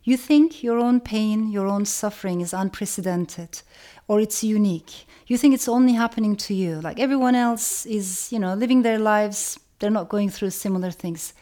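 A woman from Turkey giving a reflective educational talk, speaking in a measured way and repeating phrases for emphasis. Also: medium pitch, warm tone.

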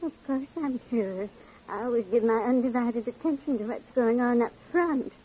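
An actress playing a dainty, chatty, slightly dotty widow with affected manners in an old radio drama. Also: female voice, on the high side, moderate pace, mellow, close to an everyday voice. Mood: prim. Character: genteel, dotty widow who enjoys attending strangers' funerals. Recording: muffled, noisy old radio recording.

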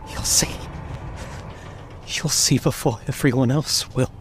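An actor playing a frightened young man in over his head in a radio drama, halting and pleading. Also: medium pitch, fairly even intonation, over music, close to an everyday voice. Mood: weak, remorseful.

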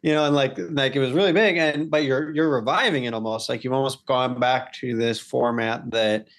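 Mid-pitched man asking questions, wordy and casual. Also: steady tone, normal speed. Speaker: podcast co-host interviewing.

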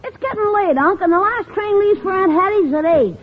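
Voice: extremely high pitch, fairly quick. A performer playing a cheeky, wisecracking young American nephew in an old-time radio comedy. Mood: wry, nagging. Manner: cheeky, wry. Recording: tinny, noisy old radio recording.

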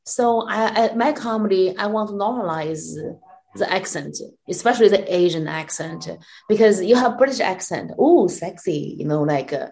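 A woman's voice: mid-range voice, moderate pace, a little mellow, low-quality recording. A woman with a Chinese accent, a comedian and actress talking candidly on a video call from her office, blunt and swearing freely with many fillers. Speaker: comedian and actress, interview guest. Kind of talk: casual interview chat.

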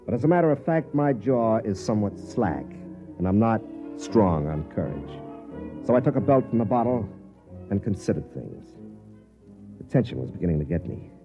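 An actor playing a cynical, hard-drinking middle-aged army captain with an American accent, wry and laconic, in old-time radio drama style. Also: male voice, fairly low pitch, big pitch swings, normal speed. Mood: wry, weary. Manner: wry, laconic, self-deprecating. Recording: muffled vintage radio broadcast, music behind.

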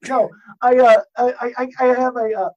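A man's voice: extremely high pitch, fairly even intonation, normal speed, darkish tone, lo-fi sound. A man on a podcast as a guest, telling rambling, profane stories and laughing at his own jokes. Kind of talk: casual podcast chat, storytelling.

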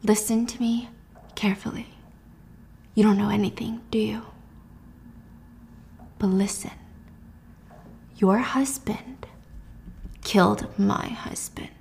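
A woman's voice: medium pitch, steady tone, slow, gravelly, long pauses, a little mellow, slight background noise. A woman voicing a bitter, grieving young widow from a drama, intense and accusing in her menace. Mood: hushed menace.

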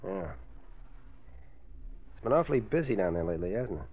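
An actor playing a proud, uneasy out-of-work husband in a 1940s radio drama, plainspoken and brooding. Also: male voice, sits low, sing-song, a little fast. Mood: uneasy, suspicious. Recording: dull old radio recording.

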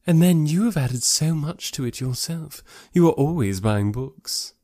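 A man's voice: fairly high pitch, lively intonation, a little slow, mellow, dry recording, studio quality, voice pushed up. A man voicing a haughty, catty young society lady in an audiobook, with an affected, snobbish and emphatic delivery. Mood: gushing. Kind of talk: classic novel audiobook character voice.

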